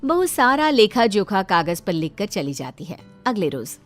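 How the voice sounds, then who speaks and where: A woman's voice: medium pitch, very animated, normal speed. A woman narrates a scripted moral story as its storyteller. She tells it plainly in the third person, with light music playing underneath.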